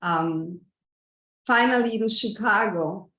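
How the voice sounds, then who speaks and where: A woman from Cuba, once a TV news correspondent, introduces herself in a measured, polished way. Her voice has an ordinary middle pitch, and the room around her rings with a noticeable echo. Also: fairly bright tone. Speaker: former television news correspondent. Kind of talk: casual self-introduction. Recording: echoey room.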